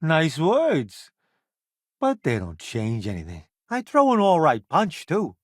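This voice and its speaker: A cocky, wildly expressive spacefaring foodie. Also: male voice, on the high side, takes it slowly, no room sound, clean studio sound, heavily acted. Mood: self-assured, wry. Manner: cocky, wry, theatrical showman delivery. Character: brash, self-assured veteran food journalist turned spacefaring crewman. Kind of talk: video game companion voice lines.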